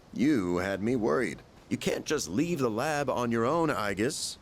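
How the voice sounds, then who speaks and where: A man's voice: mid-range voice, lively intonation, unhurried, soft background music. An actor playing a polite, genial chairman who explains things in a video game. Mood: relieved. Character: polite adult male chairman of a secret research group.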